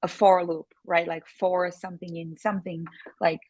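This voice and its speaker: A woman's voice: lowish voice, flat delivery, normal speed, darkish tone, dry recording. A woman from Brazil whose first language is Portuguese, speaking with a Brazilian Portuguese accent as an earnest podcast guest who sometimes searches for words. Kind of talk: casual interview chat.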